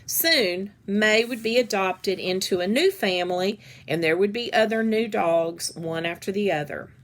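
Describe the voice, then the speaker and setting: Female storybook reader, slow and deliberate pace, mid-range voice, narrating for children. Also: medium pitch. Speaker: reader reading a children's book aloud. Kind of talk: read-aloud story narration.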